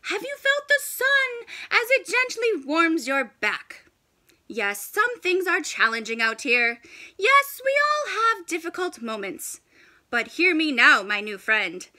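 A courteous, pompous old walrus as a woman acts him out offers solemn encouragement. Delivery is slow and measured, with bright, forceful tone and formal storybook diction. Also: very high-pitched, big pitch swings, heavily acted. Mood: solemn, encouraging. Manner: stately, formal, measured, earnest.

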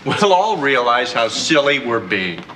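A man's voice: medium pitch, sing-song, bright, forceful tone. A panicky, stammering nervous guy. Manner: panicky, stammering, fast bursts. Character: nervous, fussy American man. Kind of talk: sitcom acting. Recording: noisy TV soundtrack.

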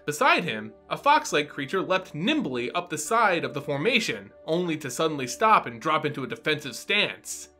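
A man delivers dramatic story narration and explanation as a host. His voice sits fairly high and moves with great animation. He sounds theatrical and teacherly, with music playing behind him. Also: sharp tone. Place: small home room, indoors.

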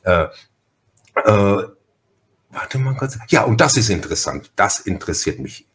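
Low-voiced Franconian man, rambling podcast host. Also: very animated, measured pace, a bit bright. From Franconia, Germany. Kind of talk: casual conversational interview.

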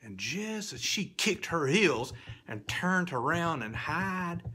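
A middle-aged man reciting a children's poem like a spooky storyteller, swinging between hushed whispers and loud cries. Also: on the high side, slightly rough. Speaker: storyteller reading children's poetry. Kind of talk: dramatic theatrical poetry recitation for children.

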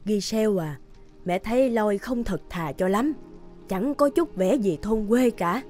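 An actress playing a protective, worried elderly peasant mother in an animated fairy tale, speaking anxiously and solemnly. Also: medium pitch, measured pace, faint music behind. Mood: worried, gentle.

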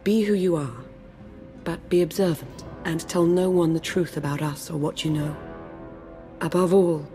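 An actress playing a composed woman agent who speaks in a calm, steady way. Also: on the low side, normal speed, faint music behind, lightly acted.

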